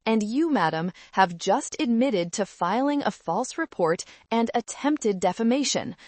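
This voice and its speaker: A woman's voice: fairly high pitch, animated, measured pace, a little mellow, no echo, voice pushed up. A woman voicing a commanding male company boss in a story, formal and authoritative. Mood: stern.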